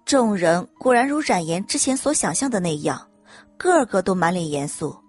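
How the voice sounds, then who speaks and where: A woman's voice: on the low side, big pitch swings, moderate pace, husky. A woman reading scripted audiobook narration as the storyteller. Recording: music under the narration.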